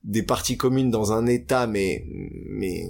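Young guy, lowish voice, open and halting storyteller. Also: gravelly. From France. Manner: candid, hesitant, many fillers and restarts. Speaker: guest. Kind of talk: casual interview, personal story.

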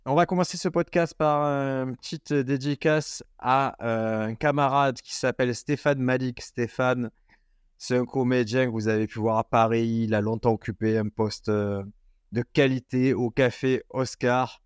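A man's voice: mid-range voice, steady tone, moderate pace, smooth, no room sound, studio quality. A man hosting a casual podcast, talking informally with lots of fillers and restarts. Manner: chatty, informal, many fillers. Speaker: podcast host.

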